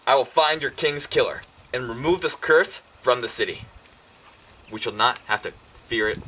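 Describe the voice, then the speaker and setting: A man's voice: medium pitch, normal speed, bright, forceful tone, slight background noise, close to an everyday voice, low-quality recording. A man playing a proud, suspicious, commanding king in an amateur Greek tragedy, speaking in formal declamation.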